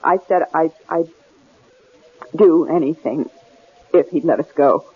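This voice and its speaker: An actress playing a desperate, devoted wife, pleading and halting, in old-time radio drama style. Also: female voice, deep voice, slightly rough, phone-quality sound. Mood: desperate resolve. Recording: thin, noisy old radio broadcast.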